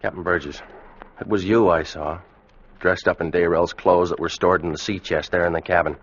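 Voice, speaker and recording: A man's voice: sits low, steady tone, normal speed. An actor playing a grim, accusing seaman in an old radio drama, speaking sternly and bluntly. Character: grim, accusing seaman confronting his mad captain. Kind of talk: 1940s-50s radio drama acting. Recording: dull vintage radio recording.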